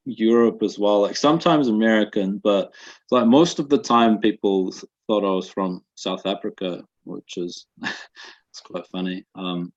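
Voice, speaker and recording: A man in his twenties from Russia, with a Russian accent softened by New Zealand, answering interview questions in a halting way with many fillers, on dull lo-fi webinar audio. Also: on the low side. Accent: Russian with a slight New Zealand accent. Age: 20s. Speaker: guest.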